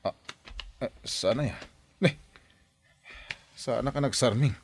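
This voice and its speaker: A man's voice: medium pitch, slow, slight background noise. A man playing a good-humored, forgetful businessman uncle in a radio drama, easygoing and a bit flustered. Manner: easygoing, chuckling, mildly flustered. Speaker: boss, uncle.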